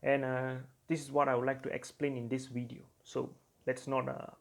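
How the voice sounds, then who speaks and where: A man from Singapore, presenting an astrology video and explaining it with frequent pauses and filler sounds. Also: medium pitch, flat delivery, gravelly. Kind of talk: explanatory talk to camera.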